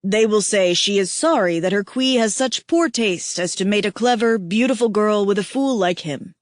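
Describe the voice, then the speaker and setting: A woman voicing a big, tender alien male who is devoted to his mate, speaking earnestly and softly. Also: mid-range voice, expressive, normal speed, dry recording, studio quality, close to an everyday voice. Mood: tender teasing.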